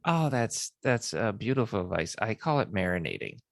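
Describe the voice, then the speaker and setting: Male podcast host, very low voice, lively intonation, dry close mic. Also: normal speed, gravelly, no echo. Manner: enthusiastic, encouraging. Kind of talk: casual interview chat.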